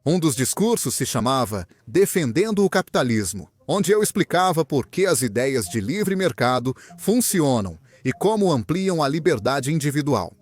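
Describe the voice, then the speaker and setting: A young man from Venezuela, a guest in an interview, telling his story in an earnest, animated way. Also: a little high, normal speed, few pauses, dry recording, soft background music. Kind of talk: interview answers, personal story.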